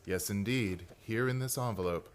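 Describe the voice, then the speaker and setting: An actor playing a smug, menacing crook with mocking charm in a suspense radio play. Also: male voice, fairly low pitch, moderate pace, close to an everyday voice. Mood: mocking, breezy. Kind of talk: suspense radio drama acting.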